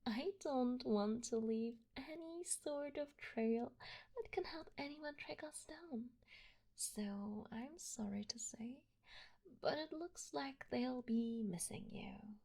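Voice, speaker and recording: A woman playing an obsessive, doting young yandere kidnapper in an intimate ASMR roleplay, soft and breathy with frequent drops toward a whisper. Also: high voice, slow pace, heavily acted. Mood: apologetic, possessive. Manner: soft breathy delivery dropping often into whispers.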